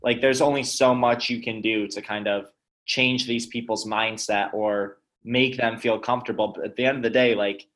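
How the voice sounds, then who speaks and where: A man from upstate New York hosting a casual conversation, relaxed and asking questions. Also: lowish voice, normal speed.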